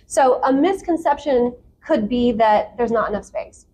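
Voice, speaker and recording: American female guest, youngish, fairly high pitch, informal and animated, light ambient noise. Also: unhurried. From South Florida, United States. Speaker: podcast guest. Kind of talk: casual conversational interview answers.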